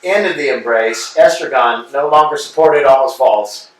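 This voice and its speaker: A man reading stage directions aloud for a staged play reading, brisk and matter-of-fact, recorded in an echoey room from a distance. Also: medium pitch, background noise. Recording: echoey room, mic far off.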